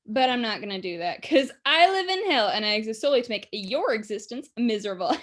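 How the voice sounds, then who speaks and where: A gleeful, scheming she-devil played by a woman speaks straight to the audience in a theatrical monologue. The voice is on the high side, with a sardonic, conversational delivery that gloats over human misery. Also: expressive, brisk, natural voice. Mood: mocking, smug. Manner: sardonic, gloating, conversational, given to chuckling asides.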